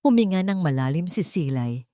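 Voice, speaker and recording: A woman's voice: lowish voice, expressive, normal speed, dark tone, dry recording, studio quality. A woman with a Filipino accent narrating a scripted story in a dramatic audiobook style.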